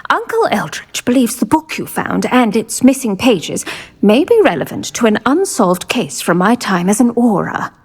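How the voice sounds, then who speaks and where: An actress playing an earnest, retired British investigator in a video game, measured and articulate in manner. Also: female voice, a little high, very animated, slightly rough, exaggerated voice. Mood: earnest, concerned. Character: earnest, keen retired female investigator, older. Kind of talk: video game character acting.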